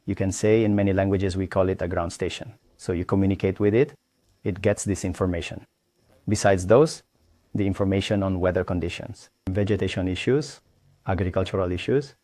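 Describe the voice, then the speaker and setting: A man from Tanzania, an engineer, explaining his background and satellites in a halting way, with pauses and restarts. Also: low-pitched, warm tone, dry recording. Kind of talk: explaining his work to camera.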